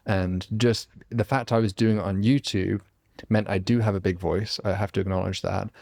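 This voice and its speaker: A man's voice: deep voice, fairly even intonation, normal speed, vocal fry, darkish tone. A man hosting a podcast, talking in an earnest, reflective way in a casual conversation. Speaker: podcast host.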